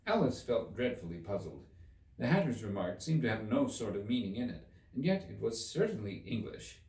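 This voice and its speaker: Very animated male storybook narrator. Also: on the low side, normal speed. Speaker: audiobook narrator. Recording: roomy, slightly distant microphone.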